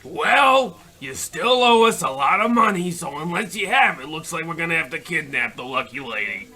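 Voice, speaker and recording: Shouty, sharp-voiced mobster heavy for a radio comedy, animated and melodramatic. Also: medium pitch, moderate pace. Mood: threatening. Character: loud theatrical mobster debt collector, gang boss's henchman. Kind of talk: old-time radio comedy acting.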